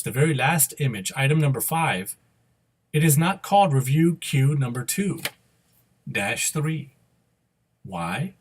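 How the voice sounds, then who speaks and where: A man giving an unscripted, step-by-step software tutorial as an instructor, explaining each move and often checking that the listener follows. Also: mid-range voice.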